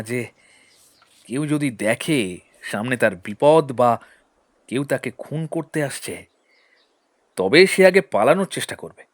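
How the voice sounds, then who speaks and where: A man's voice: medium pitch, no room sound. Probing male investigator, close dry sound acted. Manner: probing, deliberate questioning. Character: shrewd male lawyer-detective, measured, probing, suspicious. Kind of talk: audio detective drama acting.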